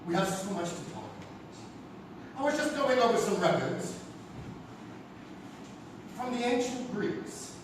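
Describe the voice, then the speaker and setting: A man playing a theatrical keeper of secret city records, ominous and deliberate, in a live radio drama. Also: sits high, sing-song. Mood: ominous, welcoming. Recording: echoey theatre, far mic, noisy.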